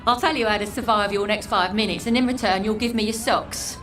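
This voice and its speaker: A woman's voice: medium pitch, steady tone, fairly quick, a bit bright, faint music behind, close to an everyday voice. An actress playing a scornful, streetwise homeless woman in a video game, with an English accent and a blunt, mocking manner. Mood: blunt, wry. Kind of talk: video game character acting.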